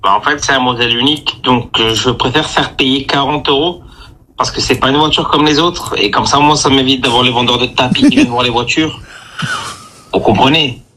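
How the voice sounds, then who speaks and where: A man's voice: mid-range voice, fairly quick, bright, forceful tone, slight background noise. A man answering a phone call as a car seller in a casual, offhand way. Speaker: seller in a phone prank clip.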